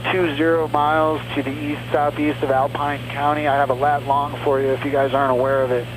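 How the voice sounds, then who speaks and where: A man's voice: fairly high pitch, little pitch movement, a little fast. A man piloting a small plane, talking casually through an aviation headset. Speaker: pilot and flight instructor. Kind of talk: casual cockpit talk. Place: small plane cockpit in flight. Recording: noisy small-aircraft cockpit headset audio. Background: engine drone.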